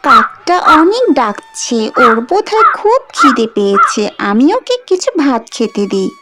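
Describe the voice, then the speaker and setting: A woman voicing a sweet, innocent, mistreated village girl in an animated fairy tale. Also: high-pitched, a little slow, very noisy, heavily acted. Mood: caring. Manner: soft-hearted, innocent.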